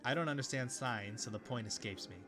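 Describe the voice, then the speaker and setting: A man reading a visual novel aloud, with relaxed commentary and casual asides. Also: medium pitch, fairly quick, music in the background. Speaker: video game let's player.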